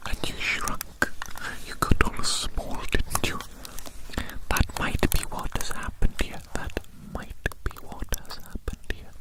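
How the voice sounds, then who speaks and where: ASMR roleplay performer: whispered throughout, unhurried, repeats phrases, playful and babying. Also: poor audio. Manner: slow, repetitive, playful, babying.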